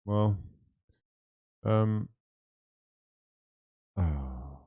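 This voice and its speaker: A man with a low, dark voice hosts a live football stream, trading sarcastic, swear-laden banter with callers. The sound is dry and close to the mic. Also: animated, extremely slow, breathy edge, dry recording. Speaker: watchalong stream host. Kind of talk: casual chat with callers.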